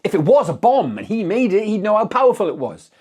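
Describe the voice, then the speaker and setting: A man's voice: sits high, sing-song, quick pace, darkish tone, breathy edge, pitched above the performer's own voice, heavily acted. A man voicing a distraught, tired and dogged sheriff in a dramatic story reading, insistent and emphatic. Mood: agitated, bitter. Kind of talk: dramatic audiobook character reading.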